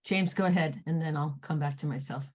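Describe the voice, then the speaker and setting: A woman moderating an online panel who is losing her voice, halting and clearing her throat as she speaks. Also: low voice, a little mellow.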